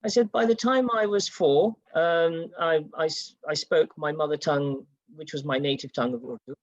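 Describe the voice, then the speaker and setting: A British-born panelist telling a personal story in a panel discussion and acting out the quoted exchanges. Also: male voice, on the high side, moderate pace, dry recording. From United Kingdom. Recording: dull, dry sound.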